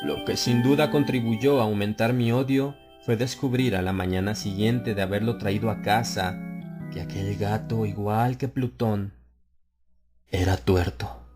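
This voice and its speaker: A man reading a horror story aloud as an audiobook narrator, in a slow, brooding, confessional delivery that swells into anguished outcries. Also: on the low side, fairly even intonation, music in the background.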